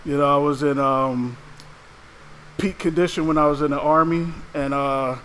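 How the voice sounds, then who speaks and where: A man's voice: a little high, fairly even intonation, moderate pace, fairly bright tone, slight background noise. A man co-hosting a casual podcast who chats in an easygoing way and laughs between words. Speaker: podcast co-host.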